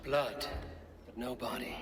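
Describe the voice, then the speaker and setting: Guy doing a gruff loyal viking warrior for a game, terse n measured, mid pitch, bg ambience noise. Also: fairly even intonation, close to an everyday voice. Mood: musing, wary. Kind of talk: video game voice acting.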